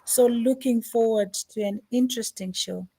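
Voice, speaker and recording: A woman's voice: on the high side, moderate pace, mellow, poor audio. A woman co-hosting a radio show, eager and repeating her phrases. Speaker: radio co-host. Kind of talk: casual chat.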